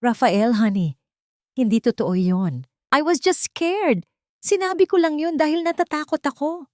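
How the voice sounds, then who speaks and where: A woman voicing a shrill, hysterical, desperate and scheming mistress in an audio drama story. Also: animated, unhurried, no echo, studio quality. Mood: pleading.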